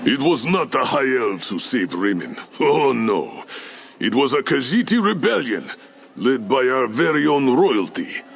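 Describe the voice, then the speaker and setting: An actor playing a proud, earnest male cat-folk citizen in a fantasy video game, declaiming warily in a gravelly voice. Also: medium pitch, sing-song, measured pace, faint music behind. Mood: proud, wary.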